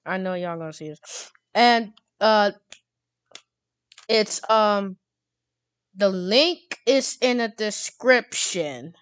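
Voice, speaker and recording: Female tutorial host, casual and halting, clear-voiced, closely recorded with no room sound. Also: mid-range voice, lively intonation, no echo.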